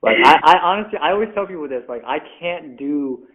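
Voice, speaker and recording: A man's voice: fairly high pitch, expressive, brisk, fairly bright tone. A man who is a rapper as a guest, chatting casually and filling his talk with "like," with little laughs. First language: Mandarin. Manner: chatty, says "like" constantly, self-deprecating laughs. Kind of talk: casual interview chat. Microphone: video call. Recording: phone-like video call audio.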